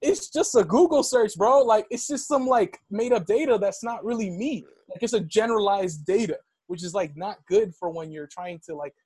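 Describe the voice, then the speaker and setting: A man's voice: high voice, normal speed, few pauses, husky, no echo. A young man of Dominican background telling a personal story in casual conversation, full of "like" and "yo.". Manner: animated storytelling, heavy use of "like".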